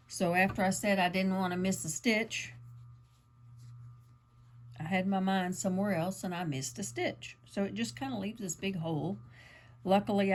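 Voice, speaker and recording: A woman, a little croaky from allergies, chatting her way through a casual yarn craft tutorial with lots of ums and asides. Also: medium pitch. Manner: chatty, meandering, lots of fillers. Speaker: crafting channel host.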